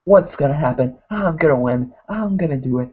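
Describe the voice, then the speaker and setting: A man reviewing a wrestling show in a rambling casual way, saying "guys" constantly and getting hoarse. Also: fairly high pitch, expressive, brisk. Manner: mocks wrestlers in put-on voices. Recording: tinny phone-like lo-fi audio.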